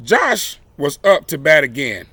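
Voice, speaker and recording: A man reads a story to children in a bright, forceful voice that sits a little high. He takes his time with deliberate, dramatic phrasing. The sound is dry and close. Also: big pitch swings, husky, no echo. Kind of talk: expressive read-aloud of a children's picture book.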